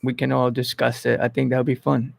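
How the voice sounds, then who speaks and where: A man from the Bronx, hosting a casual livestream and thinking aloud in an informal, halting way. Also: medium pitch, monotone, vocal fry, no echo. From Bronx, New York. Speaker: host of an online entertainment interview channel. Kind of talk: casual livestream chat answering viewers.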